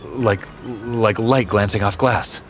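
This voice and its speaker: A man's voice: lowish voice, steady tone, slow pace, soft background music. A man playing a helpful, observant lookout in an audio drama. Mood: alert.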